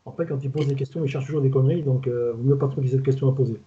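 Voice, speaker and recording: Older man, southern French accent, retired inspector type; rapid, rambling, colloquial delivery, mellow tone, lo-fi call audio. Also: mid-range voice, fairly even intonation. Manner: fast, rambling, colloquial, stumbles and repeats words. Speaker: retired food safety inspector, guest expert. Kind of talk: casual webinar talk. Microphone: video call. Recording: dull lo-fi video call audio.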